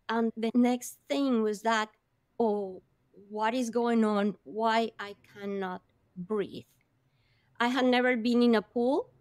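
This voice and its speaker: A woman's voice: a little high, no echo. A woman from Colombia, a guest vividly telling her own life story in an interview, with gasps and laughs along the way.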